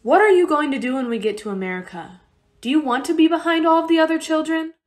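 Woman playing a high-voiced stern mother. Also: quick pace, close to an everyday voice. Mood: brisk, practical. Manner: brusque, demanding. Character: stern, no-nonsense refugee mother, brisk and practical. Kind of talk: radio play acting.

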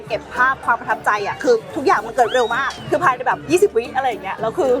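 An excitable, expressive woman chatting casually to viewers as a vlog host. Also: on the high side, rapid-fire. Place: busy themed restaurant. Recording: loud music and busy restaurant crowd. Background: loud music, crowd chatter.

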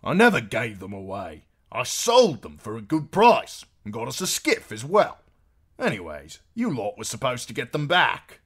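Man doing grumbly henpecked innkeeper guy, defensive, country talk, pitched up and lively. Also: moderate pace, hardly any pauses, heavily acted. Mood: annoyed. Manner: aggrieved, folksy ungrammatical speech. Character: harried, grumbling innkeeper husband, defensive and rustic.

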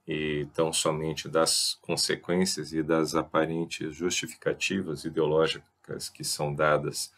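Brazilian guy in his 40s lecturing into a headset in a small room, really deep voice, slow and thoughtful, lots of little pauses. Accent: Brazilian Portuguese. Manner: thoughtful, professorial, frequent filler pauses. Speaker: host. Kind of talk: informal lecture to camera. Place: small indoor room with a chalkboard. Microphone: headset mic on a webcam video.

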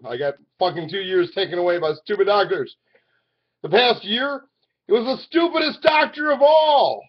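A man in his forties talking to a webcam in his living room, rambling and swinging from loud outbursts to low mutters. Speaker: vlogger. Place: living room at home, indoors. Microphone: webcam at arm's length. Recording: dull lo-fi webcam audio.

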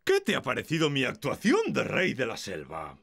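A man voicing a vain, lazy male cartoon lion who blusters theatrically, with a Castilian Spanish accent and a gravelly voice. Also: medium pitch, wildly swooping pitch, moderate pace, fairly bright tone, a little hiss or hum, full character voice. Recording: music behind the voice.

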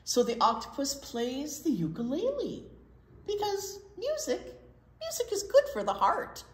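An older American woman with a fairly high, very animated voice tells a story to young children. She explains things simply and expressively, in a roomy, echoey indoor space. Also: unhurried. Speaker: children's storytime reader at a library. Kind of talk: read-aloud picture book storytelling. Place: library children's room, indoors.

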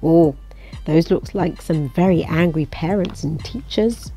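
A woman reading a children's picture book aloud in an expressive, coaxing way, as if to young listeners. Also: low voice, unhurried, over loud music. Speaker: storybook reader.

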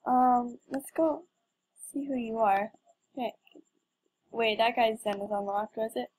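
A woman's voice: a little high, measured pace, darkish tone, a little hiss or hum. A young woman giving casual, playful game commentary with mock drama and exclamations. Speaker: gamer recording gameplay.

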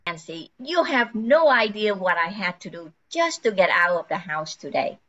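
A woman's voice: medium pitch, big pitch swings, normal speed, a bit bright, muffled recording. An older woman playing an overworked, flustered housewife in an amateur radio play. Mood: harried, chatty.

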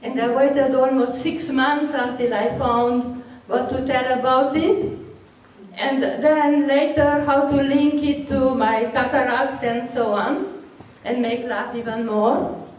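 A woman's voice: sits high, fairly even intonation, slow, far from the mic, lo-fi sound. Older Hungarian-accented woman, echoey hall. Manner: laughs often, frank and matter-of-fact. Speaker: workshop speaker. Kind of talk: answering audience questions. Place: large hall with seated audience. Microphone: handheld microphone, recorded from far off.

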